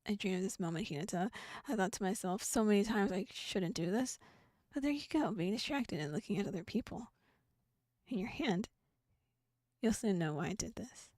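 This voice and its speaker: A woman voicing a possessive young man from a game, gentle yet menacing, in a quiet voice. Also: mid-range voice, expressive, normal speed, no room sound, studio quality, close to an everyday voice. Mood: quietly possessive. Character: possessive obsessive young man, soft-spoken yandere.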